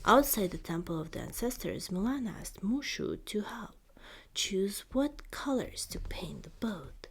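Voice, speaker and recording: A woman reading a children's storybook in ASMR style, soft-spoken and deliberate, often slipping into a whisper. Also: medium pitch, sing-song. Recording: clear background noise.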